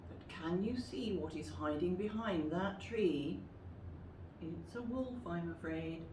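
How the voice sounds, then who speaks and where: A woman reading a children's story aloud in an expressive, deliberate, dramatic way, like a teacher. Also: fairly low pitch. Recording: roomy, distant mic with faint noise.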